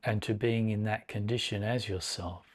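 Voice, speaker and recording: A man leading a guided meditation as a teacher, speaking in a measured, deliberate way with long pauses between short phrases. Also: fairly low pitch, steady tone.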